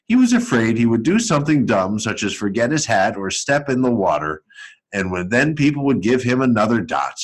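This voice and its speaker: Man, children's story read-aloud, educator, expressive, deliberate, swooping animated pitch. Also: poor audio. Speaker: school educator reading aloud to pupils.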